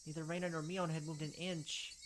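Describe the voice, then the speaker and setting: A man reading a visual novel's text aloud as a let's play narrator, dramatic, with quick asides of his own. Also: on the high side, level tone, fairly bright tone, slight background noise.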